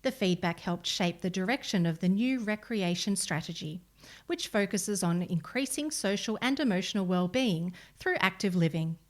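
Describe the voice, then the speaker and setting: A woman's voice: medium pitch, animated, moderate pace. A woman with an Australian accent reads a scripted local news article as a volunteer narrator.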